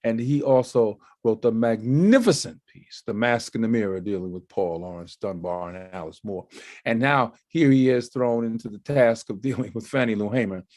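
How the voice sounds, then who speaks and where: A man, a bass singer, introducing a guest with praise and hesitant fillers. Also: moderate pace, a little mellow, no echo, clean studio sound. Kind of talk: introduction at a gala.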